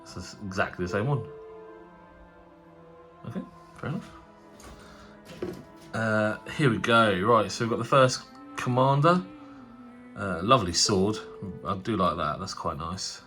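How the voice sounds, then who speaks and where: Low-voiced man, nasal from a cold, rambling casual hobby-channel host, light music bed. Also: animated, a little slow, pauses often, bright, forceful tone. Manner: chatty, rambling, frequent fillers and restarts. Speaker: tabletop wargaming channel host. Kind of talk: casual unboxing commentary.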